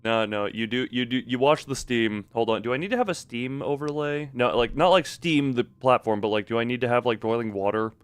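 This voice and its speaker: A man's voice: medium pitch, brisk, few pauses, smooth, no room sound. A man live-streaming a video game with casual commentary and chat with viewers, rambling in half-finished thoughts with playful sound words.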